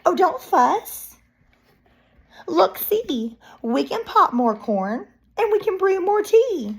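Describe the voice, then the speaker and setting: A woman voicing a small, kindly, squeaky mouse from a children's storybook, full of soothing encouragement. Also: sing-song, takes it slowly, exaggerated voice. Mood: reassuring. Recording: faint background noise.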